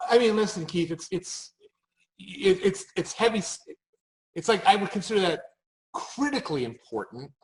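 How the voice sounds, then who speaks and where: This is a man with a fairly high voice talking in an unscripted panel. He speaks as a marketing expert, making emphatic points through anecdotes and often doubling back to restart a phrase. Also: big pitch swings, normal speed, low-quality recording.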